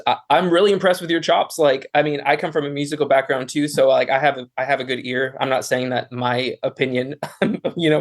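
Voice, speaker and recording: Higher-voiced man hosting a casual interview. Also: creaky. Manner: enthusiastic, informal. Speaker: podcast interviewer, host.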